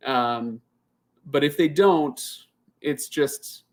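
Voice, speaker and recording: A man in his thirties hosting a casual live Q&A, chatting and thinking out loud as he answers viewer comments, often restarting his sentences. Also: a little high, drawn-out words. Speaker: channel host.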